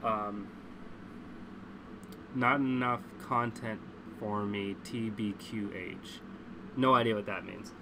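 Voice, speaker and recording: A man's voice: lowish voice, steady tone, slow pace, pauses often, background noise. A congested man chatting casually as a livestream host, blunt, opinionated and prone to swearing.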